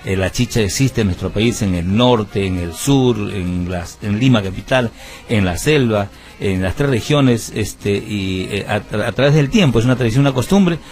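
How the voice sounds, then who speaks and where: A man's voice: lowish voice, animated, normal speed, a little mellow, faint music behind. A man from Peru with a Peruvian Spanish accent, a musician and band founder, giving an earnest radio interview. He tells his story at length with many fillers and restarts.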